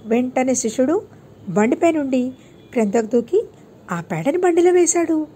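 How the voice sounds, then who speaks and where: A woman's voice: high-pitched, lively intonation, moderate pace, warm tone, a little hiss or hum. A woman narrating a scripted moral tale for children as an audiobook storyteller.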